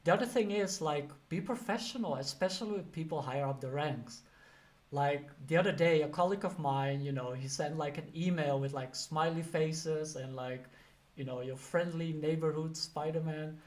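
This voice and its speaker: A man's voice: fairly high pitch, normal speed, a little mellow. A man with a Dutch accent giving casual career advice, talking loosely with lots of "you know" and "like". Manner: chatty, many fillers like "you know" and "like". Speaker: career coach. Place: lives and works in the UK.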